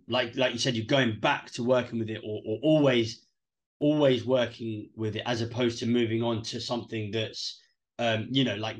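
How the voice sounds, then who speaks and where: A man hosting a podcast, asking hesitant questions with fillers. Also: mid-range voice. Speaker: podcast host, interviewer. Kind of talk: casual interview questions.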